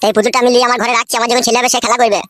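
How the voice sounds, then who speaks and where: Man doing a cheeky, quarrelsome cartoon husband, high-voiced. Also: level tone, racing, a bit bright, dry recording, clean studio sound. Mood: bossy, playful. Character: cheeky, foul-mouthed young husband, mocking and quick to quarrel. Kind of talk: comic cartoon dubbing in Bengali. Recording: noisy home-made dubbing.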